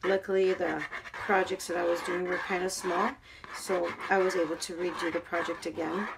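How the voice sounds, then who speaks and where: A woman hosting a craft tutorial, talking casually while she works with her hands, her voice hoarse. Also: lowish voice, level tone, moderate pace, very noisy. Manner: chatty, talks while working with her hands. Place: craft table at home.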